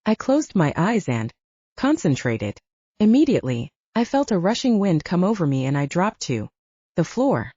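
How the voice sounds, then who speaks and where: A woman's voice: lowish voice, big pitch swings, moderate pace, mellow, no echo. A woman reading scripted first-person fiction as an audiobook narrator, on a slightly muffled recording.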